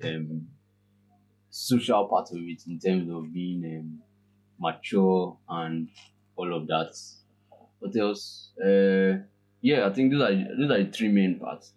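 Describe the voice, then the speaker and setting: Deep-voiced young man. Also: a little mellow, muffled recording. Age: 20s. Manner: halting, laughs often, thinks aloud. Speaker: podcast guest. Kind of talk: casual chat.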